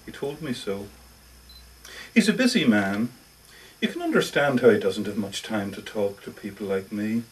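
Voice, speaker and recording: A man's voice: on the low side, lively intonation, moderate pace, recorded from a distance, lightly acted, low-quality recording. A man reading a short story monologue as a lonely, socially awkward older lodger, confiding, hesitant and defensive, correcting himself as he goes. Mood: earnest, defensive. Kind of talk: first-person short story monologue read aloud. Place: live reading in a bookshop.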